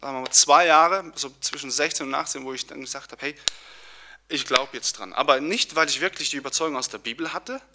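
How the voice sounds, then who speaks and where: A young man from Poland, giving an earnest personal testimony with pauses and restarts. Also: on the high side, noisy background. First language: Polish. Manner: earnest, searching, with fillers and restarts.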